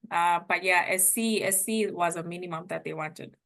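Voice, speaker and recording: A woman's voice: lowish voice, normal speed, fairly bright tone. A young woman from Zimbabwe, a former international student, chatting casually and hedging her points with frequent laughs.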